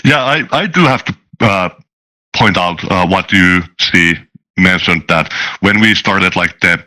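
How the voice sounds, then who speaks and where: A man speaking hesitantly in an interview as a game master and designer, with searching pauses. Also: low-pitched, sharp tone, poor audio.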